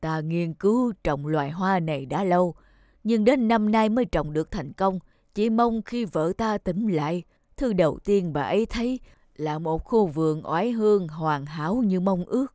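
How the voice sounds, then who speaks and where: An actor voicing a gruff, grieving old farmer in a cartoon, speaking slowly and sternly. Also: male voice, high-pitched, lively intonation, a little mellow, no echo, studio quality. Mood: sorrowful. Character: gruff, grieving old farmer guarding his lavender garden. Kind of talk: cartoon voice acting. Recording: music under the voice.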